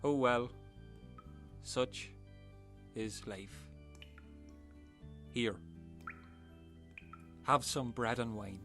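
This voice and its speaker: A man's voice: mid-range voice, extremely slow, gravelly, long pauses, a bit bright, faint music behind, heavily acted. A man voicing a flippant mock-messiah in a comic audio story, theatrical but slipping into casual asides. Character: Jimmy, a flippant mock-messiah among shipwrecked lads.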